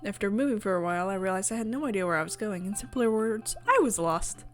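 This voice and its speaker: A woman's voice: mid-range voice, fast. A woman reading story narration aloud from a visual novel in a casual playthrough. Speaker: visual novel reader and streamer.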